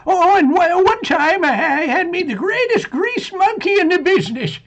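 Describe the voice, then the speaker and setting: A gleeful old-timer with an extremely high, cackling voice that swings about animatedly. He stammers and rambles through folksy tall tales in the manner of an old-time radio comedy. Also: animated, fairly bright tone, highs cut off, exaggerated voice. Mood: gleeful, rambling. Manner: halting, stammering, folksy storytelling.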